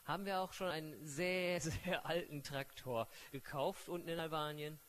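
A man's voice: a little high, fairly even intonation, normal speed, fairly bright tone. A man interpreting a sermon live, paraphrasing fluently with frequent fillers and self-corrections.

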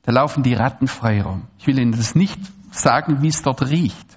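A man from Swabia, giving an animated, digressive talk to an audience with frequent restarts and asides. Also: medium pitch, normal speed, breathy edge, muffled recording. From Swabia, Germany. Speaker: speaker giving a public talk. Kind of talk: lecture to an audience.

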